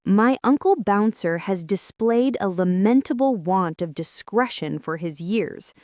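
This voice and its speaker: A woman's voice: medium pitch, animated, unhurried, darkish tone, no room sound, natural voice. A young male rabbit from a storybook, as a woman reader puts him on, composed and thoughtful. He reasons aloud in slightly formal phrases. The recording is close and dry. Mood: reflective, composed. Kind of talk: storybook character voice.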